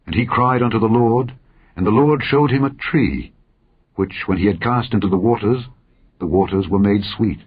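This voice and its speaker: Male Bible narrator, husky and solemn, measured declamatory phrasing, slightly dull recording. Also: lowish voice. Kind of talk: solemn scripture reading.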